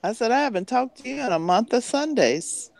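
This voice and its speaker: A man with a gravelly, creaky voice talks slowly, his pitch rising and falling a lot as he draws out his words and trails off. His sound is dry and close, with no room around it. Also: lively intonation, no room sound. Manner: slow, drawn-out, trailing off. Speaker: video call participant. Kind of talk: casual chat.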